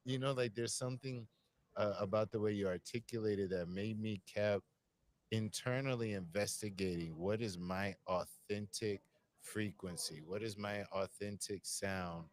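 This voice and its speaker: A man with a deep voice, musing at length in a reflective conversation. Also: unhurried. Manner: musing, searching, repeats phrases.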